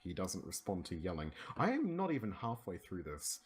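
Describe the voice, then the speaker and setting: A man's voice: sits low, huge pitch swings, moderate pace, husky. An Australian man doing an informal read-aloud of a script as an amateur narrator, voicing the dialogue himself.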